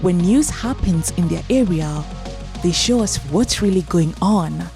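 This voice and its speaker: A woman's voice: fairly low pitch, lively intonation, a little slow, gravelly, over music. A woman reading a rallying, earnest promo voice-over. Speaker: promo narrator.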